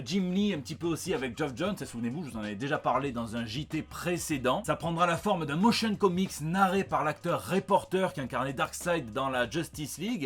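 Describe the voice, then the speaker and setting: French male host, slightly high voice, chatty and joking, for a conversational news review. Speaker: host of a comic book news show.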